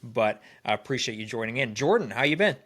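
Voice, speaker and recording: A man's voice: mid-range voice, animated, fairly quick, fairly bright tone. A man co-hosting a trading podcast, sounding nasal and congested from a cold, who often restarts phrases as he talks. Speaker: podcast co-host. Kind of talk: casual podcast chat.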